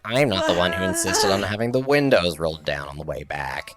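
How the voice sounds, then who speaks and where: A man's voice: low-pitched, lively intonation, brisk, music in the background. A man playing a grumpy, sarcastic service technician in an audio drama, with a grudging way of talking. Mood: grumbling. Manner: sardonic, grudging. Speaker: garage technician.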